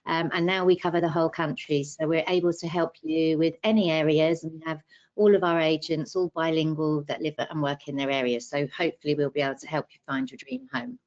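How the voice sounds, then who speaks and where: A woman who is a company director, introducing herself in a measured, slightly hesitant way on a video call. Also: low voice, a little mellow. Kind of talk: panel introduction. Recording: muffled video call audio.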